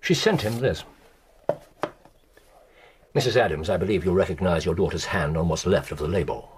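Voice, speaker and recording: An actor playing an incisive, commanding British detective in a radio drama, with precise, theatrical delivery and dramatic pauses. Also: male voice, low voice, animated, slightly rough, heavily acted. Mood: pointed. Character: incisive, commanding male detective laying out a case. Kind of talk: BBC radio drama acting.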